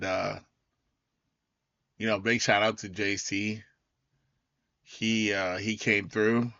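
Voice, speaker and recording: A man hosting his own podcast in a loose, rambling way, his voice raspy as it recovers. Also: fairly low pitch, pushed and bright, no room sound, highs cut off. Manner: loose, rambling, full of fillers and restarts. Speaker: podcast host. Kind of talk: casual solo recap talk.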